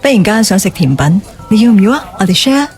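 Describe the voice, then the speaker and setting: An actress playing a sensible, reserved office professional in a radio drama, with a matter-of-fact manner. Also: female voice, medium pitch, very animated, fast talker, a little mellow, faint music behind. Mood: probing, casual.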